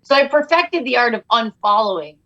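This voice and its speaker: A woman's voice: on the high side, animated, fairly quick, sharp tone, highs cut off. A woman comedian guest on a podcast, joking and self-mocking in casual chat.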